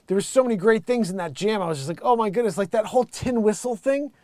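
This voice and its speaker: An American man chatting casually to livestream viewers as a musician host, in an excitable, rambling, hyped-up way. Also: high-pitched, quick pace, no room sound. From United States. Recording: loud music behind the voice.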